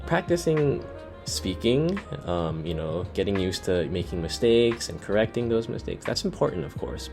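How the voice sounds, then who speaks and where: A young man giving language learning tips in a relaxed, conversational way, often saying "you know". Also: low voice. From United States. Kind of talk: casual explainer to camera. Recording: soft guitar music underneath.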